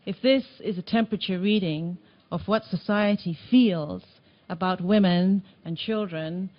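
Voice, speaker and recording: A woman from Tanzania presenting a report at a meeting, speaking earnestly with some halting restarts, in a meeting room. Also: fairly low pitch, highs cut off. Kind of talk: conference presentation.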